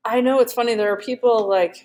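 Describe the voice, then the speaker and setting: A woman's voice: on the high side, steady tone, quick pace, a little mellow. A woman interviewer chatting casually with a joking, self-deprecating manner. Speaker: interviewer and fitness coach. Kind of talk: casual chat.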